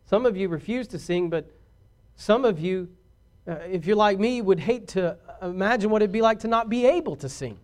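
Man, pastor; high-pitched voice, preachy and emphatic, swinging between soft asides and loud calls. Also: animated, moderate pace. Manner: preachy, rising to emphatic declarations. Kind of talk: sermon.

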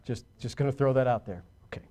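A pastor preaching a sermon, shifting from quiet confiding asides to loud emphatic declarations. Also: male voice, mid-range voice, quick pace.

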